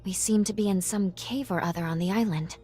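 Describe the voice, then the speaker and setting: An echoing, somewhat distant voice with music behind it: a woman playing a gentle young game heroine with an ordinary mid pitch. She speaks in polite, formal, measured phrases and sounds earnest throughout. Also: natural voice. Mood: relieved, thoughtful. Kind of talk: scripted video game cutscene acting.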